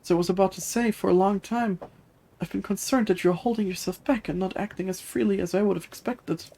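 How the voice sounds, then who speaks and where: Devoted aquatic noblewoman for a game, voiced by a streamer: raised pitch, courtly, earnest, full of gentle concern. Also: fairly quick, creaky. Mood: tender, concerned. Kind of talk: reading video game dialogue aloud in character.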